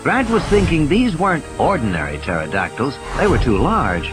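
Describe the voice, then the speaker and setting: A man's voice: on the high side, very animated, normal speed. A man giving a dramatic scripted narration of a story as an audiobook narrator. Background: music and sound effects.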